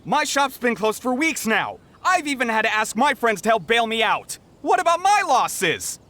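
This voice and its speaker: A man's voice: extremely high pitch, big pitch swings, moderate pace, sharp tone, exaggerated voice. An actor playing a desperate, aggrieved male shopkeeper in a video game, strained and complaining.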